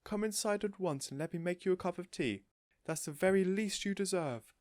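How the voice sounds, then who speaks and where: Storybook lady neighbour, male reader: high, shrill, gushing, excitable and adoring. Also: a little fast, no echo, clean studio sound, close to an everyday voice. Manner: shrill, exclamatory, effusive. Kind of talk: storybook character voice.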